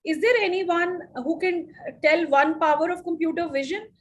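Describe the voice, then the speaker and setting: Middle-aged Indian woman trainer, high voice, lively, quizzes her audience. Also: normal speed. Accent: Indian English. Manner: lively, addresses the audience with questions. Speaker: teacher trainer. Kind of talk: live teacher workshop.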